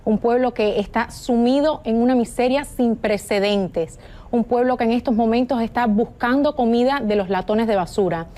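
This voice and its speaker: A woman's voice: a little high, moderate pace, mellow. A woman, born and raised in Cuba, answering as a political guest in a fervent, assertive way. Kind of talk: remote interview.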